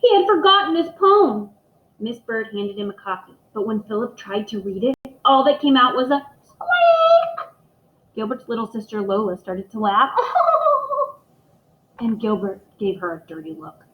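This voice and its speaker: A woman teacher reading a picture book aloud to young children, expressive and speaking to them directly. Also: a little high, measured pace. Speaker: elementary school teacher reading aloud to pupils. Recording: echoey room, dull muffled microphone.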